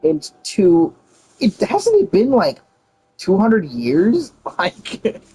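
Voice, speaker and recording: A man giving casual, informal play-through commentary as a game streamer, animated and incredulous. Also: high-pitched, extremely slow, slightly rough, faint music behind, rough recording.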